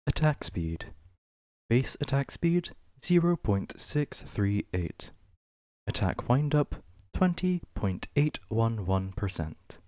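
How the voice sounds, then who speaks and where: A man reading reference text aloud for ASMR in a measured, list-like way, with pauses between items. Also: medium pitch, very animated, dark tone, no echo. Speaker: ASMR reader. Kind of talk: ASMR reading of a game wiki page.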